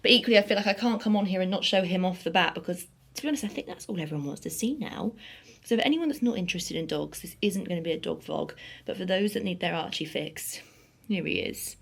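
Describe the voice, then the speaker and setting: A woman's voice: fairly low pitch, fast talker, vocal fry, breathy edge. Chatty young woman vlogging on a walk. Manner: chatty, upbeat, fillers and self-corrections, talks to her dog. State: slightly croaky morning voice. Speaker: lifestyle vlogger. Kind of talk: casual vlog chat to camera. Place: outdoors on a morning walk. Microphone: phone held while walking.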